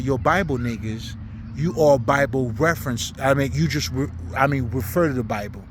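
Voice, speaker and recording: A man's voice: on the high side, slight background noise. A man from Haiti, not a native English speaker, giving an unscripted, preaching monologue in blunt, profane slang with emphatic repetition. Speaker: spiritual commentator.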